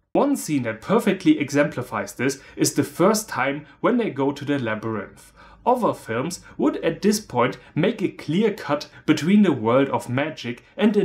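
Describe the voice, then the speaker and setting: A man's voice: a little high, a little mellow. A man in his thirties from Germany with a German accent, delivering a scripted, humorous film review in a sardonic manner.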